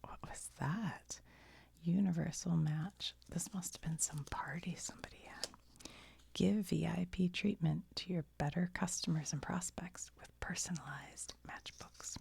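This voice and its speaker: Slow, whispering American woman, breathy and creaky. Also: fairly low pitch, steady tone, darkish tone. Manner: casual, musing aloud to the viewer. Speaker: host of a whispered show-and-tell video. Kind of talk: ASMR, whispered show-and-tell with reading aloud.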